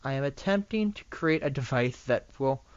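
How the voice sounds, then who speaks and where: An excitable young pony princess, as an amateur reader puts her on, pleading and enthusiastic in a storybook reading. The pitch swings widely, and the sound is dry and a little dull. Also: normal speed, clean tone, no echo, natural voice. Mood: earnest, reassuring. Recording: dull lo-fi microphone recording.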